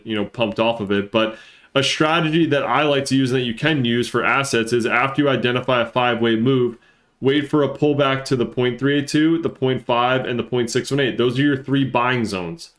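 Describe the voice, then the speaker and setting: A man with a congested, stuffy-nosed voice, explaining things casually as a live-stream market analyst and repeating points for emphasis. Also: medium pitch. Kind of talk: casual live chart commentary and trading lesson.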